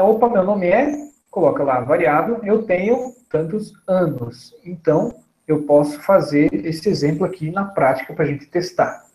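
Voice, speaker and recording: A man in his thirties teaching programming on a screen recording, relaxed and chatty, often checking in with his listeners. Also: high-pitched, reverberant, poor audio. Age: 30s. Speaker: programming instructor. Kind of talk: screen-recorded coding tutorial.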